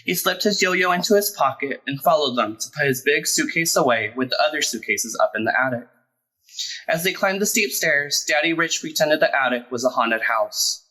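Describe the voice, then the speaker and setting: A man reading a children's picture book aloud as a storyteller, in an expressive, deliberate way with emphasized words. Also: fairly high pitch, poor audio. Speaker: storyteller, drag performer.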